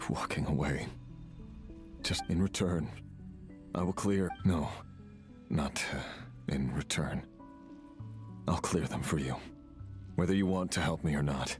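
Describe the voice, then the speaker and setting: A man voicing a weary, remorseful ancient cursed monster in a video game, with a grave, halting delivery. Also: low-pitched. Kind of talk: dramatic video game voice acting.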